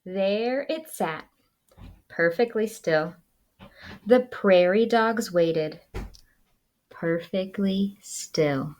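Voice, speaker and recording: A woman who works as a school librarian, reading a children's picture book aloud with expressive, dramatic storytelling. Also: medium pitch, very slow, long pauses.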